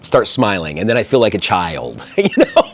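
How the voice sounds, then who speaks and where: A man's voice: lowish voice, wildly swooping pitch, normal speed. A middle-aged man from the American Midwest with a Midwestern accent, talking casually in an interview, chatty and self-deprecating, laughing often. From Midwestern USA. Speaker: interview guest.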